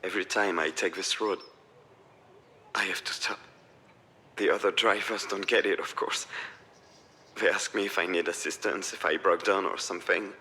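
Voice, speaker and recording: A forceful, despairing grieving man. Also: low-pitched, steady tone, normal speed, pauses often. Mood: wistful. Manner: weary, wounded, emotional. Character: despairing grieving father, weary, bitter and self-blaming. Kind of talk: acted visual novel dialogue.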